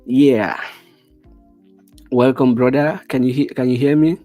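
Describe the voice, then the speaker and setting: A man's voice: mid-range voice, measured pace, faint music behind. Chatty Nigerian man hosting a stream. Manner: relaxed, chatty, repeats short acknowledgements. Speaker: livestream host. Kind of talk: casual online interview.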